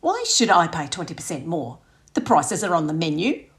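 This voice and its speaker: A woman's voice: fairly low pitch, wildly swooping pitch, moderate pace. A woman voicing a blunt middle-aged Australian mother in an audiobook, indignant and emphatic as she complains. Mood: outraged.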